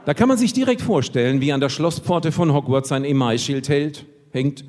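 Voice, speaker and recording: Ironic slam poet in a reverberant hall. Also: male voice, a little high, normal speed. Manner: ironic, punchline-driven, lively. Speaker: poetry slammer. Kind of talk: comic poetry slam performance on stage. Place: stage at a poetry slam event. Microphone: stage microphone.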